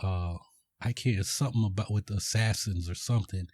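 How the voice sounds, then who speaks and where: A man's voice: lowish voice, fairly quick, a little mellow, no echo. Guy rambling thru a movie recap on his podcast, lots of um and like, dry close sound. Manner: rambling, filler-heavy storytelling. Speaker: podcast host. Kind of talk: casual film recap.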